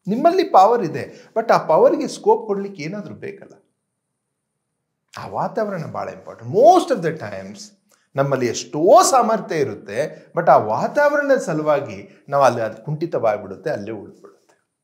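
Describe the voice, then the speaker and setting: A man's voice: on the high side, wildly swooping pitch, moderate pace, a little mellow. A man giving an emphatic, conversational motivational talk, mixing in English phrases and often checking in with listeners. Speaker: motivational trainer.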